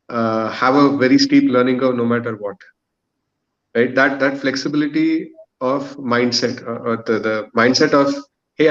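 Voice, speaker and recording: A man in his thirties giving a thoughtful, structured explanation as a podcast guest, pausing often to find his words. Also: medium pitch, muffled recording. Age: 30s. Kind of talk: thoughtful panel discussion answer.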